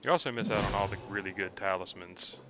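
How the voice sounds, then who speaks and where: A man's voice: deep voice, quick pace. A man giving casual commentary on his own video game playthrough, often muttering asides to himself. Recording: clearly noisy background.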